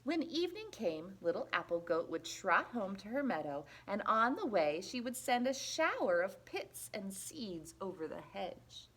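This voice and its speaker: A woman reading a children's picture book aloud in an expressive, deliberate, playful storytelling manner. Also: mid-range voice, slightly rough. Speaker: storybook reader at a library.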